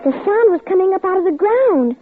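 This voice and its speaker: A woman's voice: very high voice, expressive, fairly quick, background noise. A frightened little girl on old radio. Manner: breathless, pleading, near tears. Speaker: child narrator and lead. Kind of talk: 1950s radio drama acting. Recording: tinny old radio broadcast with music.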